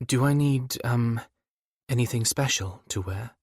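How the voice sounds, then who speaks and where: A shy woman in love as voiced by a man, speaking softly with a teasing, questioning lilt. The pitch is lifted above the reader's natural register, in the style of a romance audiobook, and the sound is close and dry. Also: measured pace, breathy edge, dry recording.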